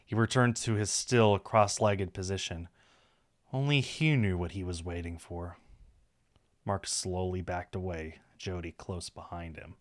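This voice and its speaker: A man's voice: deep voice, steady tone, a little slow. A man reading a visual novel aloud on a stream, narrating the story with casual asides.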